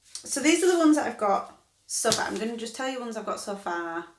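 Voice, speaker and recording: A woman's voice: a little high, sing-song, fairly quick, background noise. A woman in her thirties chatting casually to camera, rambling and correcting herself as she goes. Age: 30s. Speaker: vlogger. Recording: echoey room.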